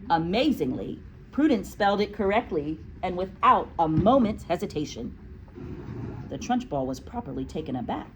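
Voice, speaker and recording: A woman teacher who reads a children's story aloud and chats casually about it. Her pitch is very lively, and there is faint noise in the background. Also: moderate pace. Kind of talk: storybook narration with casual classroom chat.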